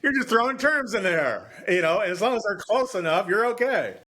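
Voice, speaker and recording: A man's voice: high voice, very animated, fairly quick, sharp tone. Rambling man in casual book chat. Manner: rambling, repeats and restarts phrases. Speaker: podcast co-host.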